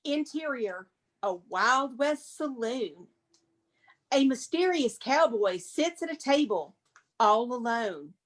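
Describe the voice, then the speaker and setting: Woman, very high pitch, narrator, dramatic screenplay reading, stage directions, casual improvised asides. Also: lively intonation, slow pace.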